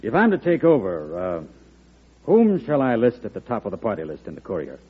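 Eager newspaperman, deferential, fast, lively pitch, husky, old radio drama sound. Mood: earnest, deferential. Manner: respectful, earnest, urgent. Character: deferential, eager newspaper editor and political aide. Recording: dull lo-fi old radio broadcast.

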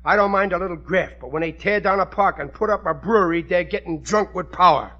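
An actor as a blustering, high-voiced American working husband. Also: male voice, brisk, dull audio, very noisy, natural voice. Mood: indignant. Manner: blustery, folksy, ungrammatical. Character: blustering, unschooled working-class husband, naive and self-important. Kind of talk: old-time American radio situation comedy acting. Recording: vintage radio recording with studio audience.

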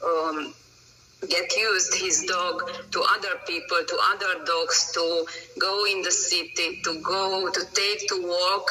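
Halting woman. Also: fairly low pitch, fairly even intonation, pushed and bright, distant mic, soft background music, rough recording. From Romania. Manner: halting, many pauses and fillers, non-native grammar. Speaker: dog breeder, guest. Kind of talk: interview answers.

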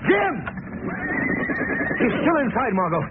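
A man acting in a 1940s radio drama as a brave, decisive gentleman hero with an earnest, commanding delivery. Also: very high-pitched, big pitch swings, takes it slowly, full character voice. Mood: desperate. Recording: tinny old radio recording, loud music.

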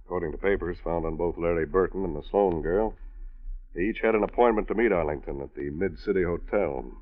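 Homicide lieutenant: low, weary, laconic, matter-of-fact, vintage radio crime drama on a tinny, band-limited recording. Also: male voice, fairly quick. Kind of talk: 1940s radio drama acting.

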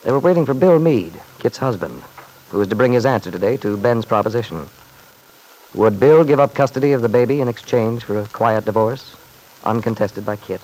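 A man's voice: mid-range voice, a little fast, a little mellow, poor audio. A man narrating a classic radio soap opera in a scripted, dramatic storytelling style.

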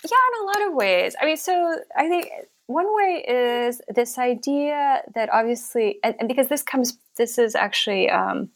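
A woman speaks in a thoughtful, searching way, often pausing on fillers and restarting her sentences. Her voice has a gravelly, creaky edge. She sounds like an author explaining her own reading of a text. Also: on the high side, lively intonation. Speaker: author and scholar. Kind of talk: interview conversation, reading a passage aloud.

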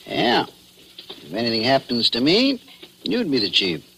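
A wry, unruffled police chief, with a mid-pitched voice that moves in lively swings. His lines are clipped, in the manner of old radio thrillers. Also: unhurried, creaky, full character voice. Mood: dry, teasing. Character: dry, wry small-town police chief. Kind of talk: 1940s radio acting. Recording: faintly noisy old radio recording.

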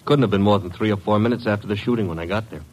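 A man's voice: fairly low pitch, rapid-fire, close to an everyday voice, low-quality recording. An actor playing a cocky, wisecracking detective's legman, wry and slangy, in an old-time radio drama. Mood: wry, breezy.

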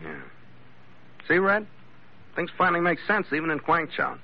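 An actor playing a tough, wisecracking ship's captain with an American accent in a hard-boiled old radio adventure, sardonic and slangy. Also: male voice, fairly high pitch, wildly swooping pitch, moderate pace. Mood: wry. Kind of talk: hard-boiled 1940s-50s radio acting and narration. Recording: dull vintage radio recording.

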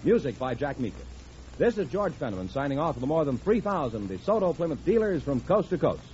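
Polished American radio announcer, lively pitch. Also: male voice, fairly quick, slight background noise. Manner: polished, formal. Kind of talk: scripted 1950s radio announcing and ad reads. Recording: muffled 1950s radio broadcast, music behind.

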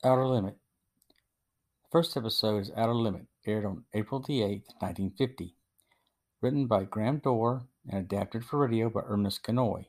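A man's voice: fairly low pitch, normal speed. A man hosting a podcast, reading a scripted review in a narrating style. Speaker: podcast host.

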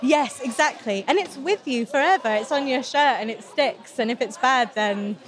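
A woman's voice: high voice, moderate pace. A woman who plays roller derby, giving casual interview answers in a giggly, amused way.

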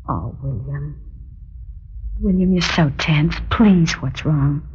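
An actress playing an anxious, devoted wife in an old radio drama, speaking earnestly and pleadingly in formal diction with a husky voice. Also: female voice, low voice, slow pace, darkish tone, exaggerated voice. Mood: concerned. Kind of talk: mid-century radio drama acting. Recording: dull, lo-fi old radio recording.

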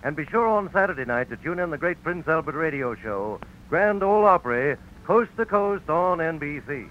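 A man delivers a 1940s radio advertisement with animated, swooping intonation. His voice is slightly high. The old recording is muffled with faint noise. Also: moderate pace. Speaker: commercial announcer.

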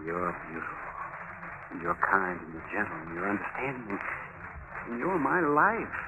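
Hapless lovesick husband, wildly swinging pitch. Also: male voice, sing-song, normal speed. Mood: tender, earnest. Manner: pleading, stammering, emotionally volatile. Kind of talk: comic radio drama acting. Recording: noisy, dull old radio recording.

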